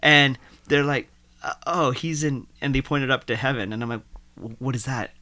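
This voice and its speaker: A young man from India, a guest telling his life story in a casual interview, animated and full of "like". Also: medium pitch, normal speed, background noise. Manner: animated, uses "like" often.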